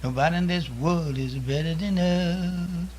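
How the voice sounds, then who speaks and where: A man's voice: fairly high pitch, unhurried, a little mellow, slight background noise. A man performing emphatic verse with a repeated refrain.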